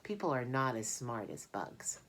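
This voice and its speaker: Woman doing a calm smart lady spider from a kids book, low voice, musing to herself. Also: unhurried, creaky, heavily acted. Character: patient, clever, affectionate female spider, calm schemer.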